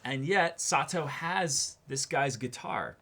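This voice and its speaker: A man's voice: medium pitch, lively intonation, slow, pushed and bright. A man co-hosting a casual anime discussion, repeating phrases and acting out imagined quotes as he talks. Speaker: co-host of an anime reaction show.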